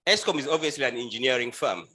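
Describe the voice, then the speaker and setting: A man from Uganda leads a panel discussion in a bright, forceful voice. He stumbles and repeats words as he hands over to the next speaker. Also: mid-range voice, lively intonation, fast. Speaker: panel moderator.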